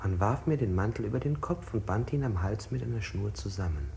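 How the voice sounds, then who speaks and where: Creaky, subdued interrogation victim. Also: male voice, on the low side, lively intonation, fairly quick, mellow. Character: subdued interrogated man recounting his mistreatment. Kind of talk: stylized 1960s German radio play. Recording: older radio recording with faint noise.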